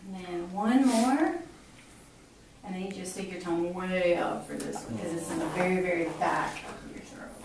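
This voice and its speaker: A middle-aged woman clinician explaining a physical exam step by step, in an instructional way with hesitant pauses. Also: on the low side, a little mellow. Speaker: clinician examining a patient. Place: small hard-walled exam room indoors. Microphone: camera mic a few feet away. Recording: echoey room, distant mic, clear background noise.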